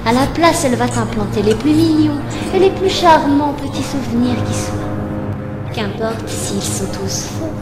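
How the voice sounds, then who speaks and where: Woman acting cruel young villainess, high-pitched, taunting mock-sweet delivery, fan-dubbed cartoon drama, loud music behind. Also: expressive, measured pace. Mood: gloating. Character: mocking, sadistic young villainess, sly and condescending. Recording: roomy home dub under loud music.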